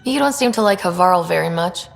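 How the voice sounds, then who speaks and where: Polite, curious game heroine. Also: female voice, on the low side, animated, brisk, creaky, slight background noise. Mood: polite curiosity. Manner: courteous, questioning. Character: polite, inquisitive young female human explorer. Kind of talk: video game dialogue acting.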